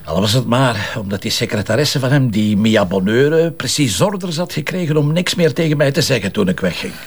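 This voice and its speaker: An actor playing a grumbling, teasing police commissioner with a Flemish accent, in a naturalistic radio drama style. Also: male voice, medium pitch, animated, brisk, husky. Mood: confiding, playful. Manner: teasing, sardonic, colloquial. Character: wry, grumbling male police commissioner with a sore knee.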